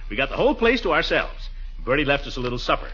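An actor playing a pompous, excitable middle-aged bachelor uncle with a blustery, chuckling, theatrical delivery in a 1940s radio comedy. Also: male voice, medium pitch, racing. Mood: flirtatious, eager. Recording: muffled vintage 1940s radio recording.

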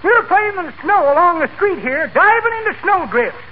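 An actor playing a sly, cagey old man in a 1940s radio comedy, drawling slowly with "uh" hesitations. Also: very high-pitched, sing-song. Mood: chatty. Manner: slow folksy drawl with "uh" hesitations. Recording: tinny old radio broadcast with hiss.

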